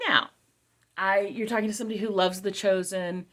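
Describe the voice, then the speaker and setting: A woman's voice: medium pitch, fairly even intonation, brisk, a bit bright. A middle-aged woman giving a casual, conversational product review, repeating key phrases for emphasis.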